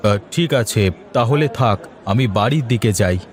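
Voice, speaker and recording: A man voicing a weary, glum elder brother in a cartoon, speaking in a measured, downcast way. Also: medium pitch, close to an everyday voice. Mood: concerned. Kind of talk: dubbed moral cartoon dialogue.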